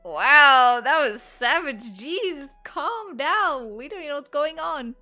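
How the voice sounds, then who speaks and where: A woman's voice: sits high, lively intonation, takes it slowly, bright, forceful tone, soft background music. A young woman streamer giving casual, chatty commentary on a game, giggling and filling her talk with "like". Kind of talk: casual let's-play commentary.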